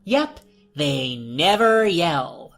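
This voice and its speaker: A woman voicing a smug, childish doll-like monster girl in a horror story, drawn-out and wheedling, with music behind. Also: low-pitched, very animated, pitched above the performer's own voice, exaggerated voice. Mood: eager, pushy. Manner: drawn-out, pouty, wheedling sing-song.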